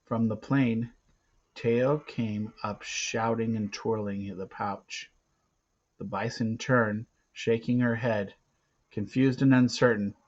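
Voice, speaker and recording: A man narrating a storybook aloud theatrically, with dramatic pauses and swings between hushed and loud delivery. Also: fairly low pitch, fairly even intonation, dull audio.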